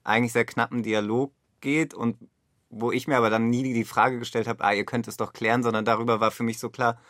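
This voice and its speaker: A man's voice: mid-range voice, level tone, a little fast, fairly bright tone, no echo, studio quality. A man, a radio play director, giving his reflective view of a work in a conversational interview.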